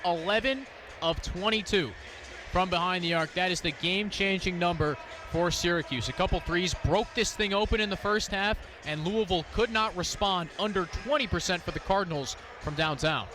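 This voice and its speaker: A man with a high-pitched voice provides color commentary on a live basketball radio broadcast. His delivery is analytical and built around statistics. Loud gym noise and ball bounces are clearly heard behind him. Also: normal speed. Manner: analytical, stat-driven. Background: gym ambience, bouncing basketballs.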